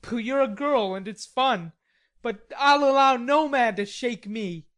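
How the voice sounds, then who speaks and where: A woman reading an audiobook, voicing a stubborn, hot-tempered young gentleman in indignant outbursts. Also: a little high, moderate pace, pitched above the performer's own voice, close to an everyday voice. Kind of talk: audiobook character voice.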